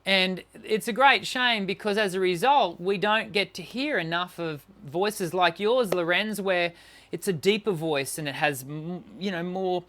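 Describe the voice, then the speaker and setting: A man's voice: high voice, a little slow, fairly bright tone. A middle-aged man with a tenor voice, a singing teacher answering viewer questions on a live stream, encouraging, with many fillers and restarts.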